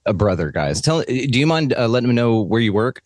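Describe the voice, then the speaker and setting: A man's voice: medium pitch, big pitch swings, a little fast, a little mellow, no room sound. A man hosting a casual online group chat in an encouraging, informal, slangy manner. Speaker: host of an online group show.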